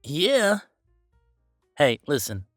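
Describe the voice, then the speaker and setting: A man's voice: fairly high pitch, huge pitch swings, extremely slow, fairly bright tone, no echo, studio quality, faint music behind, heavily acted. A man playing a playful, easygoing young werewolf boyfriend in a casual roleplay audio, chatting in a teasing, emphatic way. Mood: warm, chatty.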